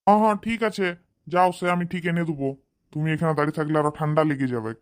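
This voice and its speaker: A woman plays a doting old village father in a comedy cartoon. The voice sits fairly low for a woman, and he talks in a homely, folksy way. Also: racing, close to an everyday voice.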